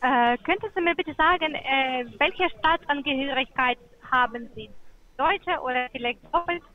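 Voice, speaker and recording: A woman in her forties works through a scripted sales call in a formal, slightly awkward way. Her voice is high. The phone line sounds dull and muffled. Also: moderate pace. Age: 40s. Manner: formal, scripted, with some slightly ungrammatical phrasing.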